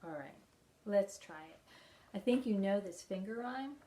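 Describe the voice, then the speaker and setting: A woman reading aloud and talking simply and gently to young children as a storytime leader. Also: medium pitch, expressive, a little slow, husky. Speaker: children's library storytime reader.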